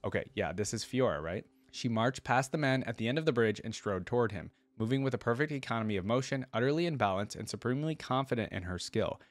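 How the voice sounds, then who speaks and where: A man's voice: mid-range voice, expressive, fast, few pauses, no echo. A man reading a fantasy story aloud and adding enthusiastic, informal fan commentary as a reaction video host.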